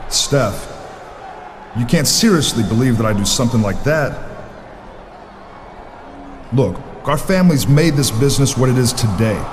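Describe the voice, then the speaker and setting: Game role: male actor as arrogant heel wrestler, medium-pitched, defiant, noisy surroundings. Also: close to an everyday voice. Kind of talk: video game cutscene acting.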